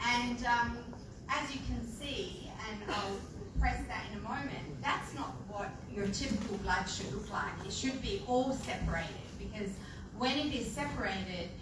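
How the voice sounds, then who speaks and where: A woman's voice: on the high side, a little slow. Chatty woman in an echoey room. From Australia. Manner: chatty, filler-heavy, animated when quoting people. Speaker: presenter. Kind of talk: casual live presentation. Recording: echoey room from far off, noisy.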